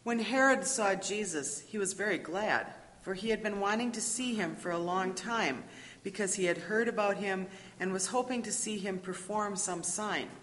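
A woman's voice: mid-range voice, moderate pace, fairly bright tone. A woman narrating a dramatic scripture reading from a script, recorded in a reverberant hall. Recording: reverberant hall with faint noise.

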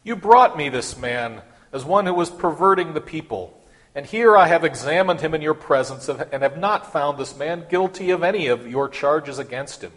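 A man playing a forceful, exasperated Roman governor in a dramatic scripture reading, declaiming in a commanding, emphatic way. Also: fairly high pitch, lively intonation, moderate pace, poor audio. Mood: declaiming, judicial.

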